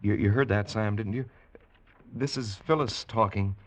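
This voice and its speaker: An actor playing a stern, by-the-book American spaceship captain who is shaken, commanding and clipped in a vintage radio drama. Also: male voice, fairly low pitch, normal speed, natural voice. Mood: stunned. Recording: old radio recording, faint music.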